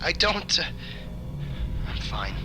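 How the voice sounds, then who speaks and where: A man's voice: high voice, big pitch swings, takes it slowly, music in the background, full character voice. A man playing an anxious, analytical and wry mission member, stammering and hesitant, in an audio drama. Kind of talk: naturalistic audio drama acting.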